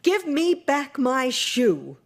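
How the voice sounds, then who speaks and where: A woman's voice: fairly high pitch, wildly swooping pitch, slow pace, heavily acted. A woman voicing a brave, outspoken little girl in a storybook reading. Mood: angry.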